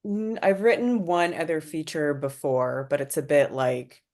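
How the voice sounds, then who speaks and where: A woman screenwriter talking casually about her own work, with informal, halting phrasing. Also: low voice, steady tone, darkish tone. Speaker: screenwriter and director. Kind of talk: casual unscripted talk about own script.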